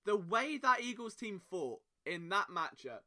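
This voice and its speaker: A man's voice: high-pitched, big pitch swings, a little slow, pushed and bright. A man hosting a solo sports podcast, talking with passion and emphatic rhetorical questions. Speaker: podcast host.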